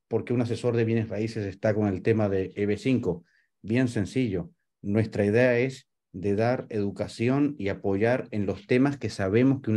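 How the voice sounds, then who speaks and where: A man's voice: on the low side, warm tone. A middle-aged man from Venezuela and Uruguay, a real estate advisor giving closing remarks as host on a webinar video call. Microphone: video call microphone.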